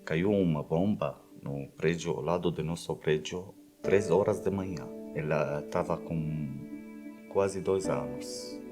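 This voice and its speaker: Syrian-accented man, 39, low register, halting non-native testimonial over a music bed. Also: warm tone. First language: Arabic. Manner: halting, searching for words. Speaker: refugee, small business owner. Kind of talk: testimonial in a documentary. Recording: clear music bed behind.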